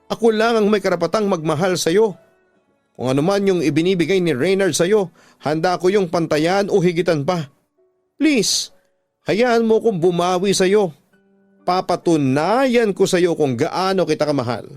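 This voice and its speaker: A man's voice: high voice, moderate pace, a little mellow, dry recording, faint music behind, pitched above the performer's own voice, natural voice. A man doing a pleading, remorseful husband. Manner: earnest, pleading. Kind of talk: radio drama acting.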